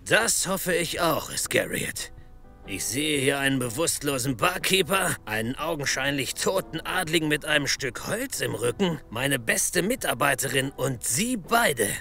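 Police captain: authoritative, skeptical, gravelly and tense, for a comedy radio play. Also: male voice, fairly high pitch, lively intonation, measured pace, sharp tone, faint music behind, heavily acted. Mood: stern, suspicious. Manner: stern, exasperated, authoritative. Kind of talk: German comedy audio drama acting.

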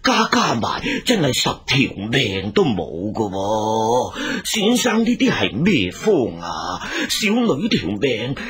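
A man's voice: medium pitch, wildly swooping pitch, slow pace, hardly any pauses, crowd noise. An actor playing an anxious, deferential older father and rich household master in a Cantonese radio drama, exclamatory and fretful, with a Hong Kong accent. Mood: horrified. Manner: fretful, exclamatory, humble to the healer.

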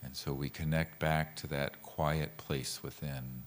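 A man leading a guided meditation as a teacher, speaking in a calm, gentle, measured way with pauses. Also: a bass voice. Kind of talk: guided meditation with mantra instruction.

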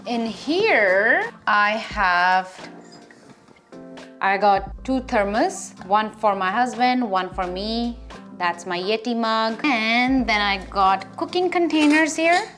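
A woman's voice: a little high, expressive, slow pace, fairly bright tone, soft background music. A woman vlogger talking casually through her packed bags, listing items one by one with small fillers along the way. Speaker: vlogger showing her packing. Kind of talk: casual show-and-tell.

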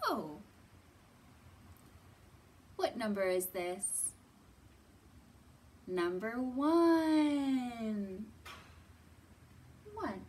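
Woman, thirties, kids' storytime host. High, animated voice, simple teacherly phrasing, faint camera-distance room sound with some noise. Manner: simple questions to small children, drawn-out words, thoughtful hums. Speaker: children's librarian and storytime host. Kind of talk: children's storytime teaching, read-aloud. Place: indoors in a library.

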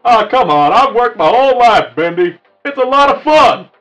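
Man voicing a high-pitched, good-natured cartoon wolf. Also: expressive, moderate pace, fairly bright tone. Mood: earnest. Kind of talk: improvised cartoon roleplay. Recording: slightly dull lo-fi mic, music behind.

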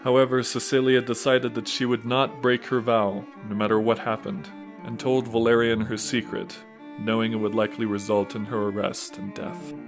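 A man's voice: medium pitch, flat delivery, moderate pace. A man reading scripted narration of a saint's life as the narrator of an audio drama. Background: plucked guitar music.

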